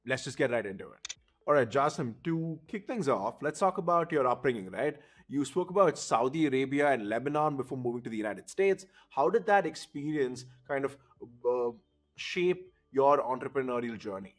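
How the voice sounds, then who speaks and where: A man's voice: medium pitch, animated, normal speed. A man hosting a podcast, introducing the show and asking his guest questions in a conversational style. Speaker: podcast host.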